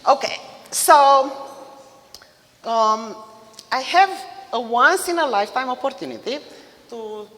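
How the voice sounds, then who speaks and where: A woman in her fifties from Romania with a Romanian accent, giving a mother-of-the-groom wedding toast in a loud voice, laughing between lines. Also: high-pitched, animated, takes it slowly, pauses often. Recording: reverberant hall.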